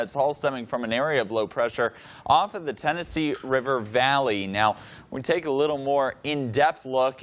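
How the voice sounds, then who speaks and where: A man delivering a TV weather forecast in a steady, clear broadcast manner, reading through places, times and temperatures. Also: mid-range voice.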